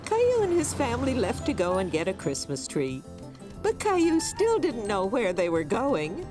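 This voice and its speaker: A woman playing the gentle storybook narrator of a children's cartoon, telling it expressively. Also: fairly high pitch, huge pitch swings, moderate pace. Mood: gentle storytelling. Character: warm storybook narrator of a small boy's adventures. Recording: music behind the voice.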